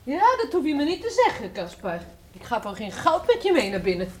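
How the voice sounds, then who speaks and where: Fretful, animated housekeeper woman. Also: high voice, brisk. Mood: flustered, scolding. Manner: exclaiming, indignant, fretful. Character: timid, easily flustered, scolding housekeeper woman. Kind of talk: scripted Dutch audio drama for children. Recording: noisy, clicking old recording.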